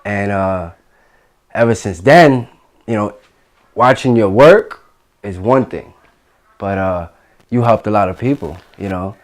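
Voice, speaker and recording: Host: young man, low voice, excitable and complimentary, relaxed studio chat. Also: unhurried, pauses often. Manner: enthusiastic, repeats praise like "that's dope". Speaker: host and videographer. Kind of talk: casual interview chat. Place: small studio room with art on walls, indoors.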